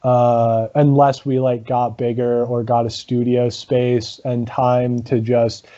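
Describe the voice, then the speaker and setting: A man, a guest in a casual interview, talking about his comedy rock band with a low voice, lots of ums and laughs. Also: little pitch movement, mellow, muffled recording. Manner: halting, many ums, laughs often.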